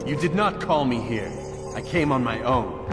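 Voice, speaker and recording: An actor playing a stern, arrogant young assassin, speaking in a terse, cold, defiant way for a video game cutscene. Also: male voice, medium pitch, animated, moderate pace, close to an everyday voice. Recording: reverberant hall, music behind.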